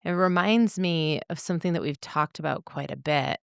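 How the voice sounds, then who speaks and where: A woman co-hosting a podcast, talking reflectively in an earnest, deliberate way with emphatic phrasing and pauses. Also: deep voice, gravelly, clean tone, dry recording, clean studio sound. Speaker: podcast co-host.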